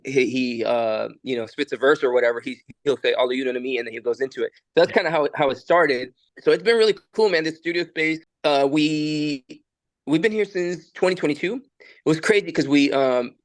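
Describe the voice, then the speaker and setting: A man's voice: mid-range voice, no echo, rough recording. A young man from El Salvador, a musician and studio producer, chatting casually in a recording studio and talking in a loose, rambling way with lots of fillers and restarts. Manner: laid-back, rambling, many fillers and restarts. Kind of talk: casual podcast conversation.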